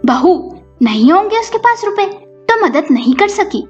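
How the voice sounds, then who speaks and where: A woman storyteller voicing a defensive, quick-tempered older mother-in-law in a family story. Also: extremely high pitch, very animated, voice pushed up, full character voice. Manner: indignant, scolding retorts. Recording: muffled recording with music behind.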